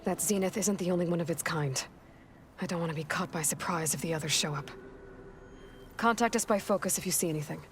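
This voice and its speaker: A woman's voice: fairly quick, slight background noise, lightly acted. An actress playing a determined young woman warrior who leads a small party in a game, serious and commanding. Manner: serious, commanding, low-key. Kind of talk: naturalistic game cutscene acting.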